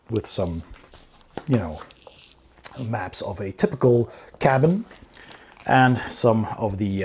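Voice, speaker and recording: A man's voice: fairly low pitch, a little mellow, a little hiss or hum. A man hosting a review channel, talking casually and unscripted to viewers in an enthusiastic, emphatic way. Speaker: board game reviewer and channel host.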